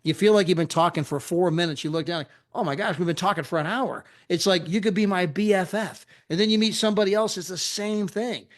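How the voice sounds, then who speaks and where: A middle-aged American man giving an animated sales pitch for a travel club, piling up destinations and chuckling at his own asides. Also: fast, few pauses. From USA.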